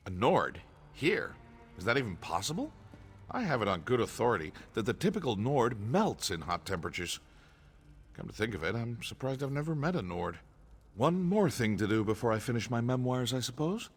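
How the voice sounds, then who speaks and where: Guy acting as pompous fussy writer npc, wry and lively, bit raspy, faint music behind. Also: medium pitch, moderate pace. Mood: irritated, amused. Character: fussy, condescending male writer of memoirs. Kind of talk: game dialogue acting.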